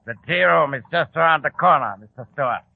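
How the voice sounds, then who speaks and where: An actor playing a sadistic, disfigured henchman, slow and threatening, in an old-time radio drama. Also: a little high, gravelly, breathy edge. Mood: grim. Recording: muffled, tinny 1940s radio recording.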